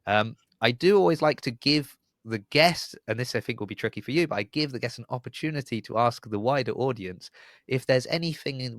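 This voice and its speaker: A man's voice: medium pitch, fairly bright tone, no echo, clean studio sound. A man hosting a podcast in casual conversation, chatty and rambling with a wry streak. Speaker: podcast host.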